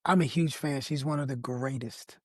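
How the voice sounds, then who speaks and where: A man with a raspy voice, a singer guest on a podcast, telling stories and praising artists with emphatic, effusive energy. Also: mid-range voice, moderate pace, dry recording, clean studio sound. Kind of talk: casual podcast conversation.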